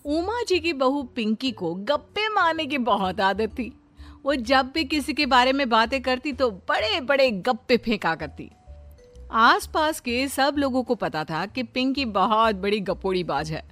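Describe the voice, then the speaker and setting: Woman, storyteller, high voice, scripted moral-tale narration, light music behind. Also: sing-song, a little slow, fairly bright tone.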